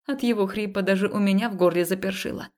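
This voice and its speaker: A woman narrating a first-person romance story as an audiobook, expressive and confessional, acting out the dialogue. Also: medium pitch, fast.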